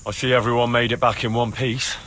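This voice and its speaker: A man's voice: medium pitch, flat delivery, brisk, fairly bright tone, slight background noise, lightly acted. An actor playing an eager, loyal young fighting man in a video game cutscene, with an earnest, questioning manner. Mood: wry, relieved.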